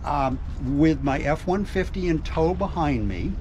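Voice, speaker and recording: An older man with a slightly high voice walks a visitor around his custom truck, explaining each part in a plain, practical way with plenty of "uh"s. He is recorded outdoors on a camera mic, with clear outdoor noise all around. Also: animated, a little slow, darkish tone. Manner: matter-of-fact, plain and practical, with frequent fillers. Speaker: truck owner giving a tour. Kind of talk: casual explanation. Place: outdoors at a gravel truck show lot.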